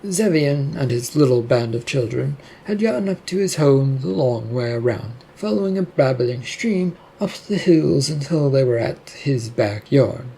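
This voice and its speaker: Husky, mellow story narrator. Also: medium pitch, animated, a little slow, hardly any pauses, poor audio. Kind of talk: scripted fiction narration.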